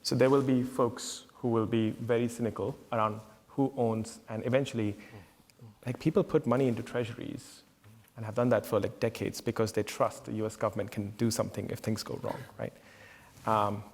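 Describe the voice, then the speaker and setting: A man's voice: mid-range voice, flat delivery, brisk, a little mellow, big-room sound. A man on a conference panel adding to the discussion in a casual manner. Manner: casual, uses "like" and "right?".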